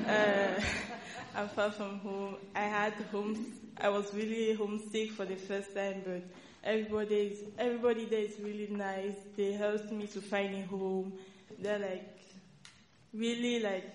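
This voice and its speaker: A woman's voice: medium pitch, level tone, unhurried, slight background noise. A young woman from Cameroon, a student, speaking hesitantly to a church congregation, searching for words. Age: young adult. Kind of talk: nervous informal speech to a congregation. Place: church hall. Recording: echoey hall with faint ambience.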